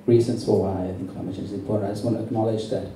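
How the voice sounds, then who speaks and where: A man from Sri Lanka with a very dark, muted voice delivers an intense political speech. He is emphatic and deliberate. He is heard from a distance in a heavily echoing room. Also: on the low side, little pitch movement. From born in Sri Lanka. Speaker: campaign speaker at a public meeting. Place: indoor public meeting venue. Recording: very echoey, distant, faintly noisy hall recording.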